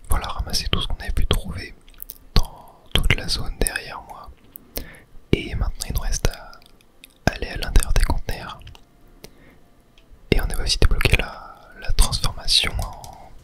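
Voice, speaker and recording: Male gaming host, soothing unhurried ASMR delivery, whispered throughout. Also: bright, forceful tone. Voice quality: breathy unvoiced whisper. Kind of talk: whispered ASMR gameplay commentary.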